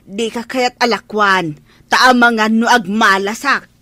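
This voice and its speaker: A woman's voice: on the high side, steady tone, measured pace, bright, forceful tone, no room sound. A woman playing a persistent fish vendor. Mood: pleading. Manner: pleading sales talk. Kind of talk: Ilocano radio drama acting.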